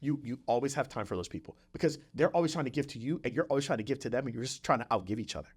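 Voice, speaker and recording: A man's voice: medium pitch, expressive, very fast, slightly rough. Guy hosting a motivational podcast, chatty and emphatic, repeats himself, keeps going right? okay?. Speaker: podcast host. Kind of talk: conversational motivational talk on a podcast.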